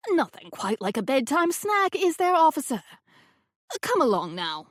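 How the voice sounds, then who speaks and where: A woman's voice: high-pitched, very animated, normal speed, dry recording, studio quality, voice pushed up. A woman voicing a haughty, bossy older lady who commands everyone politely. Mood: sweetly bossy. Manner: imperious, sweetly manipulative.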